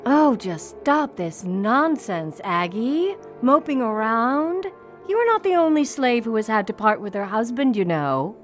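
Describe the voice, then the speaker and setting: A woman's voice: high-pitched, sing-song, normal speed, fairly bright tone, soft background music. A woman playing a cold, commanding plantation mistress in an audio drama, scolding and strict. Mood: cold, snapping. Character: stern, cold slave-owning mistress of a plantation house.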